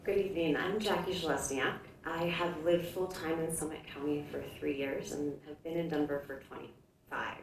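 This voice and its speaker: A woman with a low, gravelly voice gives a conversational campaign speech as a candidate. The sound is roomy, as if miked from some way off. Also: fairly even intonation, moderate pace. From Hawaii, United States. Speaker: school board candidate. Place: indoor public forum room. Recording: echoey room from a distance, faint noise.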